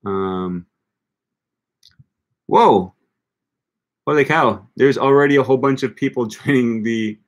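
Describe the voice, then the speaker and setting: An upbeat young man hosts a relaxed livestream and reacts to viewer comments as he goes. He talks loosely, with frequent ums and restarts. His voice sits close on a desk microphone in a small room. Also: mid-range voice, slow, long pauses. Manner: upbeat, thinks aloud with many ums and restarts. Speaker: language-learning channel host. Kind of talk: casual livestream chat reading comments. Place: small room with bookshelf, indoors. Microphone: desk microphone close in front.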